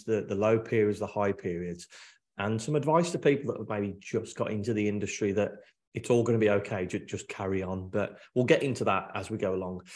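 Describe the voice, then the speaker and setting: A man's voice: mid-range voice, a little mellow. A man hosting a podcast, reading a short opening then chatting informally with his guest, often repeating himself. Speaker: podcast host. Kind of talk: scripted intro then casual interview chat. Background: faint background music.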